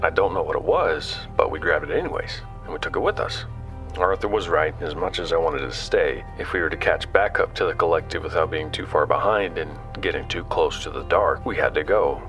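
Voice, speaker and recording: An actor playing a curious explorer in an audio drama, speaking conversationally and haltingly as he records his expedition. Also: very deep voice, expressive, a bit bright, exaggerated voice. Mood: reflective. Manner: halting, conversational, stumbles over words. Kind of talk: audio drama acting, hesitant recorded-log narration. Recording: music bed under the voice.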